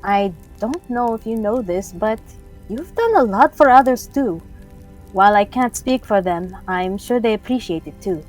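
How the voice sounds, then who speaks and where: A reserved young woman adventurer in a fantasy audio drama, acted by a woman. She is vulnerable and understated, searching for her words at a middling pitch. Music sits softly behind her. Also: expressive, moderate pace, close to an everyday voice, low-quality recording. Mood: grateful, awkward.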